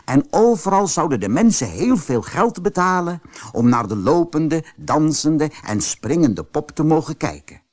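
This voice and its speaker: Slow, highly animated male fairy-tale narrator. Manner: expressive storytelling. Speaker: audiobook narrator.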